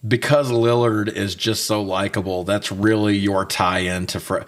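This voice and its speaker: A man's voice: on the low side, steady tone, unhurried. A man co-hosting a casual movie review, talking loosely and swearing often. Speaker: podcast co-host reviewing films.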